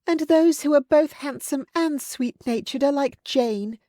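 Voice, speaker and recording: A woman doing a high, gushing young lady. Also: a little slow, dark tone, no echo, studio quality.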